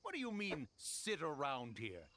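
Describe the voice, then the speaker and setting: A man's voice: a little high, huge pitch swings, a little slow, sharp tone, full character voice. A man playing a proud, grumpy old tanuki spirit who guards a forest, pompous and theatrical, in an anime video game. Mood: indignant. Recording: faint music behind the voice.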